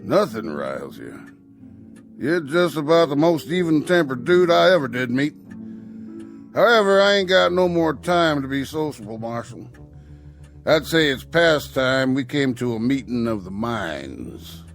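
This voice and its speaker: An actor playing a sly, menacing Old West outlaw in an audio drama, with a gravelly American Western drawl and a folksy, needling way of talking. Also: male voice, mid-range voice, big pitch swings, unhurried. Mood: quietly menacing. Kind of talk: radio drama acting. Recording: music under the dialogue.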